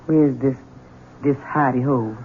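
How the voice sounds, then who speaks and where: A woman's voice: extremely low pitch, measured pace, a little hiss or hum. An actress playing a bitter, dutiful woman servant with a grave, deferential manner in a 1970s radio drama. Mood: subdued. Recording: muffled, tinny old radio broadcast.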